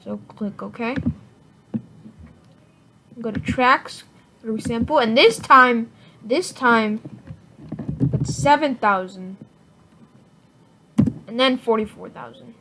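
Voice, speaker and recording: A woman giving a casual, unscripted software tutorial in an informal, rambling way, often correcting herself. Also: a little high, slow pace, pauses often. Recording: noisy home recording with clicks.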